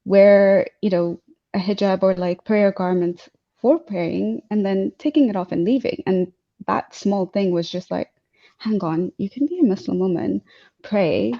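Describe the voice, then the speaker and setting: A woman's voice: mid-range voice, measured pace, gravelly. A young woman from Tanzania, talking in a casual interview over a video call, hesitant with many fillers and restarts. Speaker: guest speaker. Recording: dull lo-fi video call audio.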